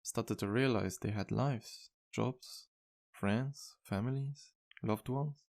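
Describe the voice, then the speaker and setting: A man playing a charming, modest vampire bartender in an intimate ASMR roleplay, speaking gently with courteous, slightly formal phrasing. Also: medium pitch, slow pace, gravelly. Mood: somber, remorseful. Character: charming, polite, modest male vampire bartender with guilty past. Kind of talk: ASMR roleplay, intimate one-sided dialogue.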